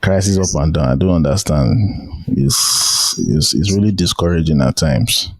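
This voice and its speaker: A man's voice: low-pitched, lively intonation, normal speed, vocal fry, breathy edge, no echo. A man with a Nigerian accent hosting a podcast in a casual interview style. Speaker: podcast host.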